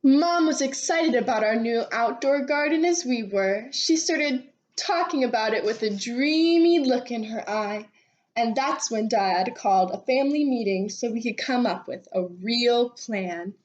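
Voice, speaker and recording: A woman reading a children's storybook aloud expressively, with animated delivery and drawn-out phrase endings. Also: a little high. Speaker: read-aloud storybook reader. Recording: dull, lo-fi sound.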